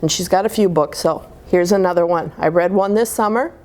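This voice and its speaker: A woman reading a picture book aloud as a storyteller, with an expressive, deliberate delivery meant for young children. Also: on the low side.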